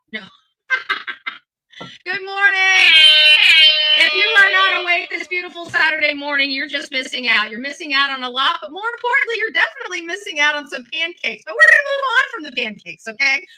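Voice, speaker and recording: A woman's voice: extremely high pitch, lively intonation, normal speed, pushed and bright, slight background noise, low-quality recording. A woman streamer chatting casually on a livestream. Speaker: online streamer.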